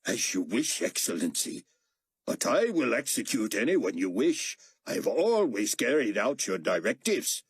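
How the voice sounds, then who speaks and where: A man's voice: mid-range voice, sing-song, unhurried, slightly rough, dry recording, studio quality, pitched above the performer's own voice, full character voice. A man voicing an obedient male henchman eager to prove his loyalty.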